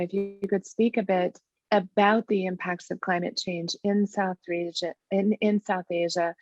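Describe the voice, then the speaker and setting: A woman's voice: mid-range voice, no echo. Woman host asking questions, polite n formal, dry muffled audio. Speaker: interviewer, executive director. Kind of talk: interview hosting.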